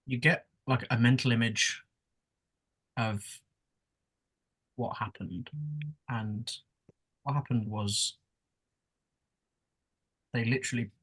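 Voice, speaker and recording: Husky English man running a game. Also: mid-range voice, fairly even intonation, slow, pauses often, fairly bright tone, low-quality recording. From England. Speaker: game master. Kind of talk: casual narration of a tabletop game.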